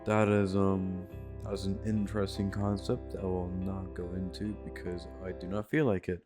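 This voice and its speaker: A man's voice: low-pitched, little pitch movement, normal speed. A man narrating casual, scripted video game commentary in an offhand, irreverent way. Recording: music behind the voice. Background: guitar music.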